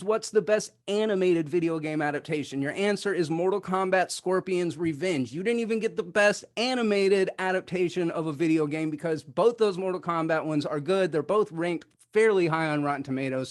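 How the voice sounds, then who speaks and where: High-voiced man arguing sarcastically. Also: normal speed, hardly any pauses. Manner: sarcastic, slangy. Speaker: debate contestant. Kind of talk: casual argumentative banter.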